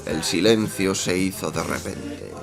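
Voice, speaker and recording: A man's voice: deep voice, expressive, measured pace, creaky, exaggerated voice. A man narrating a radio soap in a florid, mock-solemn storytelling manner. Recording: music behind the voice.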